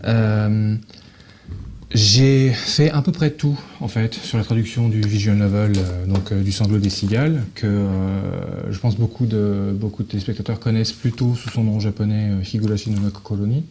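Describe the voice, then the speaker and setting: A man's voice: on the low side, fairly even intonation, moderate pace, a little mellow, a little hiss or hum. A man in his thirties, an interview guest explaining his work casually in hesitant, broken-up phrases. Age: 30s. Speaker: guest, Japanese-French translator.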